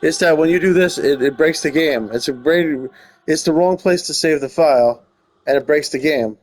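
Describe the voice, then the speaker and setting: A man's voice: on the high side, normal speed. A man hosting a gaming video, reading game text aloud and adding casual comments. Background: game music.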